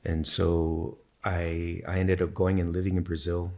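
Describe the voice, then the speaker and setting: A man whose first language is Spanish, a podcast guest thoughtfully telling his own story in a measured, explanatory way. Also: deep voice, monotone, a little mellow. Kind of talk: reflective personal storytelling in conversation.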